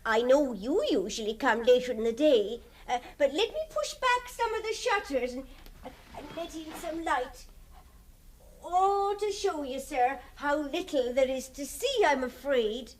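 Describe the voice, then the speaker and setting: An actress playing a deferential, superstitious working-class housekeeper in a radio drama, chatty and hesitant, with folksy turns of speech. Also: female voice, sits high, lively intonation, measured pace, far from the mic, a little hiss or hum. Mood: eager, obliging.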